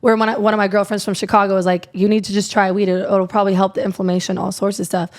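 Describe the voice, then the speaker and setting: A young woman from Iowa with a raspy voice, chatting casually as a podcast guest, full of filler words. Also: mid-range voice, little pitch movement, rapid-fire, darkish tone. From Iowa, USA. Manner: rambling, lots of "like" fillers. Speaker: guest, country singer. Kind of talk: casual interview chat.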